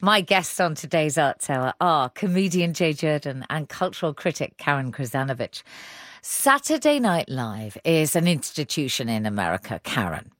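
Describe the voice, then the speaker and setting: A woman's voice: low voice, very animated, normal speed, a bit bright, no room sound, clean studio sound. A woman presenting an arts show, introducing and questioning guests.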